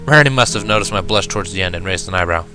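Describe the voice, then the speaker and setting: A man reading fan fiction aloud as expressive first-person story narration. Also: deep voice, very fast, over music.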